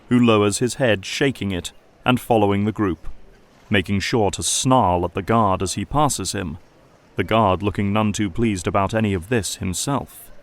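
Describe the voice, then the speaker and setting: A man reading scripted narration for an audio drama. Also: lowish voice.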